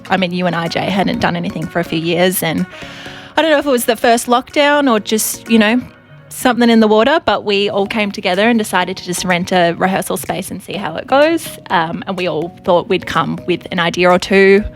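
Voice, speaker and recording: A young woman with an Australian accent chats at a medium pitch, laughing and teasing herself as she tells how her band formed. Faint music plays in the background. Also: brisk. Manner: laughing, self-deprecating. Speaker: band member, guest. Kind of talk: casual radio interview.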